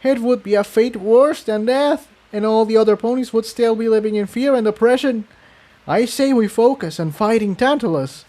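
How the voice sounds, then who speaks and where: A man puts on a worried female pony from a fantasy storybook. Her voice is pitched high, and she pleads in an earnest, urgent way. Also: moderate pace, mellow. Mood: urgent pleading.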